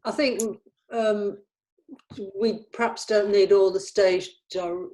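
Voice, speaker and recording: A woman's voice: mid-range voice, fairly even intonation, takes it slowly, dark tone, rough recording. A woman in casual discussion, polite and tentative, taking part in a script read. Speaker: table read participant. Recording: clear background noise.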